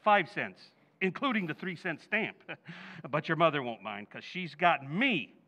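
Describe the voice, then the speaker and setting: A man's voice: on the high side, big pitch swings, measured pace, highs cut off, heavy background noise. A man acting a blustery, blundering middle-aged working-class father in an old-time radio comedy, with a folksy manner. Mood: blustery, advising. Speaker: stage actor in radio recreation. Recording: reverberant hall.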